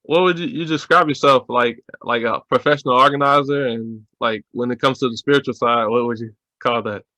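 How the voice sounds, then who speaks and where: Podcast host, man, bright voice, dry close sound, stumbling questions. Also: mid-range voice, dry recording. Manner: halting questions, short backchannels. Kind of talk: casual podcast interview.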